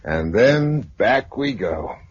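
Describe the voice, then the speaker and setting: A man's voice: medium pitch, very animated, slow pace, slightly rough, exaggerated voice. A man in his twenties with a British accent playing a practical, laconic young Englishman in a 1950s radio drama. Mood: practical, instructive. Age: 20s. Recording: muffled, hissy 1950s radio recording.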